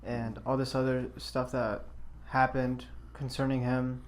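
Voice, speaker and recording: A man's voice: medium pitch, little pitch movement. Young man rambling casually. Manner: rambling, loose, quick to laugh along. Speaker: podcast co-host. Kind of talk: casual chat. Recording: faint background ambience.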